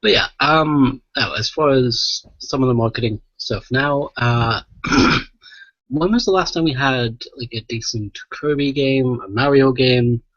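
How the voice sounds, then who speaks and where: A man's voice: mid-range voice, a little slow, a bit bright, dry recording, lo-fi sound. A man hosting a casual, sweary podcast in a playful, jokey way. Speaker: podcast host.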